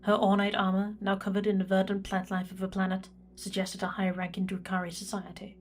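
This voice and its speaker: A woman's voice: mid-range voice, completely flat delivery, soft background music, close to an everyday voice. A woman playing a reserved imperial records prefect, dictating a log in a formal, precise manner for a sci-fi audio drama. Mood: clinical, measured. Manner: formal, measured, clinical.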